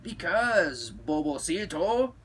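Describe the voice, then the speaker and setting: A man's voice: fairly high pitch, very animated, slow pace, a bit bright, slight background noise, exaggerated voice. A man voicing brash, cheeky little Chihuahua dogs from a storybook. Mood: eager.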